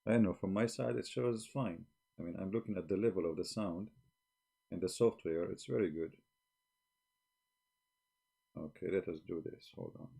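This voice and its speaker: A man's voice: sits low, normal speed, vocal fry, pauses often, dark tone, breathy edge. A man hosting a live stream, challenging and blunt, speaking broken English into a desk microphone. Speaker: livestream host. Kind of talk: argumentative live-stream talk.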